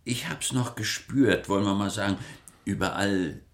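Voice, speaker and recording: Radio play: male actor as enthralled art historian, deep register, gravelly edge, urgent and conversational. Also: normal speed, lightly acted. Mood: fascinated, insistent.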